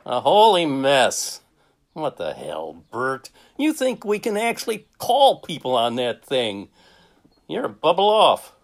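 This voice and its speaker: A man playing a gruff, sardonic small-town drinking man in a radio play. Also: a little high, wildly swooping pitch, slow, full character voice. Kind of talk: radio drama acting.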